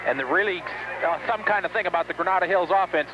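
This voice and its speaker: Quick-talking American male color commentator, old radio. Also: high voice. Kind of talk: unscripted football analysis on radio. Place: outdoor high school football game broadcast. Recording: muffled noisy 1970s radio broadcast audio.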